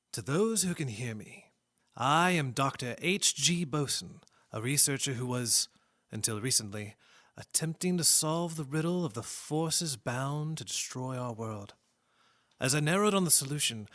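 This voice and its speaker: A man's voice: fairly high pitch, expressive, a little slow, a bit bright. A man acting a grave researcher who narrates a radio drama in a theatrical, portentous way. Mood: urgent, portentous. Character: grave doctor-researcher narrating a dying world's adventure tale.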